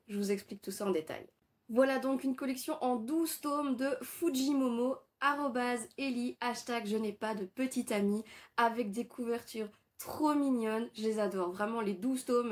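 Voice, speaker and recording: A woman with a cold, nasal and hoarse, hosting an eager manga review and reading out story summaries. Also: fairly high pitch. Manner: gushing, eager, warm praise. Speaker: manga reviewer and channel host. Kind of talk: enthusiastic book review with read-out summaries.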